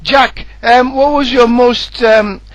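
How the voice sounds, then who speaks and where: High voiced guy doing casual race chat, matey, really dry sound. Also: steady tone, takes it slowly, no echo. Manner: informal, matey. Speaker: sim racing co-commentator.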